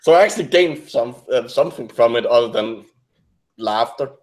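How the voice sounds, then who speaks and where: A man speaking non-native English that mixes American and British, chatting casually and haltingly as a podcast co-host, often searching for words. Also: medium pitch, lively intonation. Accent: non-native English mixing American and British. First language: not English. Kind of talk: casual science debunking chat.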